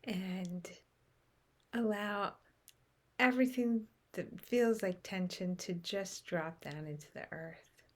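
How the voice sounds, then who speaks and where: A woman leading a guided meditation in short, unhurried phrases with long pauses, softly trailing into breath at the ends. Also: mid-range voice. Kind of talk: guided meditation, unscripted and contemplative.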